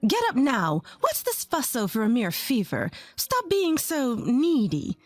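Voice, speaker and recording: A scornful older mother-in-law snaps and sneers, as a woman narrator puts her on. The voice is lifted higher than the narration, with a cutting, scolding edge. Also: huge pitch swings, measured pace, dry recording, faint music behind, exaggerated voice.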